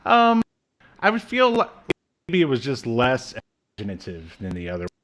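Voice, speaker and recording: A man in his thirties chats as a podcast co-host. His voice sits at an average pitch. He recaps in a halting, casual way, piece by piece. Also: huge pitch swings, a bit bright. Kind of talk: casual recap and review chat. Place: small indoor room, video call.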